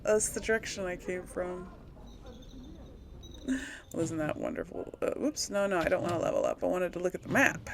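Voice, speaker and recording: A woman's voice: lowish voice, normal speed, long pauses, a little hiss or hum. A woman hosting a casual gaming let's play, thinking aloud in a chatty, folksy way with a slight throaty tickle from a recent cold. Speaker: gaming video host.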